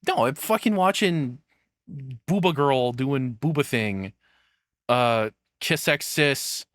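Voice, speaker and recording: A man's voice: mid-range voice, fairly bright tone, no room sound. A man streams casual reaction commentary in a mid-range voice. He rambles off the cuff and swears freely. The sound is dry, with very little room in it.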